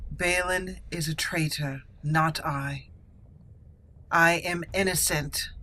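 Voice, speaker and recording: A woman's voice: lowish voice, bright, forceful tone, exaggerated voice. A woman voicing a proud, wronged elven noblewoman in a game roleplay, dramatic and formal in delivery. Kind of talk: roleplay acting in a game let's play.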